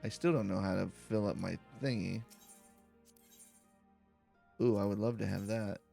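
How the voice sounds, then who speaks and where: A man giving calm, cozy gameplay commentary as a let's play host, with playful, silly asides. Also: on the low side, moderate pace, creaky, soft background music.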